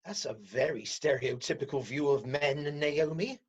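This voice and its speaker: A woman playing the firm, officious head of a counselling team in a radio comedy, with a husky, authoritative voice. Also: low-pitched, normal speed, dull audio. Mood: wry. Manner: authoritative, managerial. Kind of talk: radio play acting.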